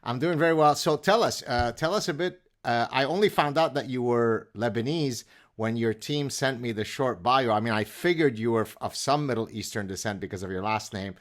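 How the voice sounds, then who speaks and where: A man's voice: mid-range voice, brisk. A middle-aged man, a professor hosting an interview, polite and inquisitive with the odd "uh". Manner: polite and inquisitive, occasional filler "uh". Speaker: podcast host and professor. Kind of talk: conversational interview.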